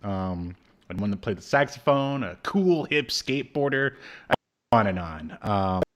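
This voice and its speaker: A man's voice: on the low side, lively intonation, a little slow. A man in his thirties co-hosting a podcast from home, chatting casually and hesitantly. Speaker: podcast co-host. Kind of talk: casual chat reviewing old media. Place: indoor room at home, video call.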